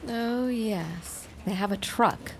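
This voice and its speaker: An actress playing a brisk, sardonic 1910s company secretary with a dry, knowing delivery in an audio drama. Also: female voice, medium pitch, slight background noise. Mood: amused. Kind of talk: period audio drama acting.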